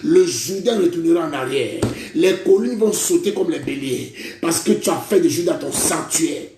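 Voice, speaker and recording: A man's voice: on the high side, lively intonation, moderate pace, dark tone, a little hiss or hum. A man preaching a prophetic sermon, declaiming in repeated phrases and calling listeners brother. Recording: echoey room.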